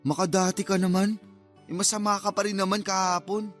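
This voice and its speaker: Playful, higher-pitched teasing young cousin played by an actor. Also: male voice, flat delivery, fairly quick, soft background music, lightly acted. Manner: joking, bantering. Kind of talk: radio drama acting.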